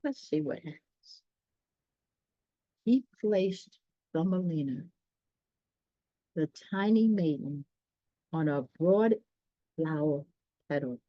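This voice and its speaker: A woman's voice: sits low, animated, slow pace, long pauses, no echo, lo-fi sound. A woman reading a children's story aloud, explaining it in chatty asides and speaking directly to listeners.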